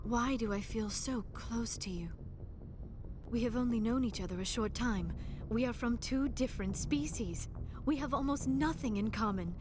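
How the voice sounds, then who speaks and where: A woman's voice: fairly high pitch, normal speed, close to an everyday voice. A woman playing a shy, earnest alien scientist in a video game, speaking formally and carefully. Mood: flustered. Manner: formal, careful diction without contractions. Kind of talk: video game drama acting. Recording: loud music behind dialogue.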